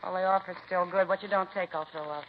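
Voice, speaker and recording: An actress playing a bitter, blunt working-class wife who complains in slangy speech, in 1950s radio drama style. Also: female voice, lowish voice, steady tone, fast, natural voice. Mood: bitter, fed up. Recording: muffled, noisy 1950s radio recording.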